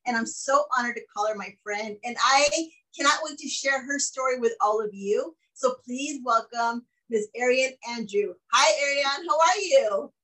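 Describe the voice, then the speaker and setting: A woman's voice: a little high, normal speed, hardly any pauses, pushed and bright, low-quality recording. Bubbly woman podcast host. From Mexican heritage. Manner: upbeat and gushing, with frequent laughs. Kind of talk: casual interview chat.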